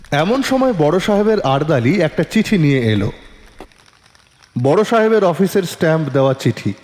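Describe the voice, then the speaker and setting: A man narrating a detective audio story in the first person, with an expressive, dramatic storyteller delivery. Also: on the high side.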